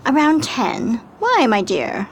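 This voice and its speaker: A woman's voice: on the high side, huge pitch swings, takes it slowly, a little hiss or hum, full character voice. A woman playing a chic, coaxing gambling-parlor hostess in a 1920s period radio drama, gracious and sugary.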